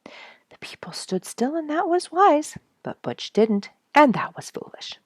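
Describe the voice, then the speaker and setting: A woman reading a nature story aloud as a storyteller, with expressive narration and deliberate, dramatic emphasis. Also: high voice, dry recording, studio quality. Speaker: storyteller reading an audiobook chapter.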